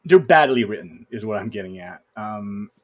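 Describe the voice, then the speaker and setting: A man's voice: on the low side, animated, normal speed. Wry man chatting about films. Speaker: podcast guest co-host. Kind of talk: casual film discussion.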